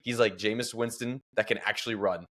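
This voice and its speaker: A man's voice: on the low side, a little fast. A young man leading a casual fantasy football podcast, joking with his co-host and running through the matchups with energy. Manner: joking, energetic, leads the show.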